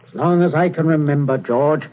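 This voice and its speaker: A man's voice: a little high, a little fast, a little hiss or hum, natural voice. An actor playing a stern, pompous middle-aged father who snaps orders in a 1940s radio thriller. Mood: stern, irritated. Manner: pompous, scolding, clipped orders. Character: stern middle-class father, pompous, snappish, obsessed with respectability. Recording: tinny old 1940s radio broadcast.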